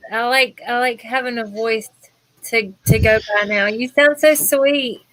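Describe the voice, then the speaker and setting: A woman's voice: fairly high pitch, steady tone, a little slow, a bit bright, low-quality recording. A woman co-hosting a casual live stream, asking a guest friendly questions.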